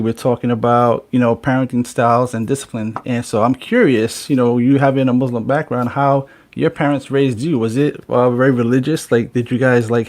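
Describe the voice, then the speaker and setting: A man's voice: medium pitch, a little mellow. A man hosting a casual podcast, talking bluntly and swearing freely. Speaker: podcast host.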